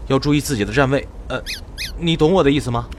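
A man's voice: medium pitch, fast talker, slight background noise, lightly acted. A man playing an earnest, protective young priest warrior in a fantasy audio drama, with an exasperated edge. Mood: earnest instructing.

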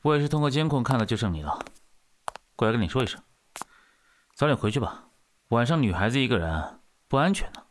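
A man's voice: medium pitch, very animated, fairly quick, pauses often, natural voice. A man playing a solicitous night security guard, speaking casually in an amateur suspense audio drama.

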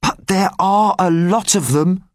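A man's voice: sits high, no room sound, clean studio sound, voice pushed up. A man reading aloud and voicing a cheeky, streetwise young boy in a raised, eager voice. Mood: urgent, secretive. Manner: eager, quick, loud whispers.

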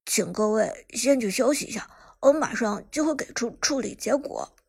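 A woman voicing a shy young male staffer in an audiobook, apologetic, flustered and stammering haltingly. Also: fairly high pitch, lively intonation, gravelly, husky, heavily acted. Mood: relieved, polite.

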